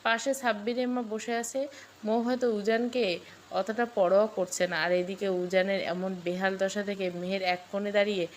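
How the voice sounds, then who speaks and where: A woman narrates a dramatic scripted story. Her voice sits at a middle pitch. There is noticeable noise in the recording. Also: steady tone, moderate pace.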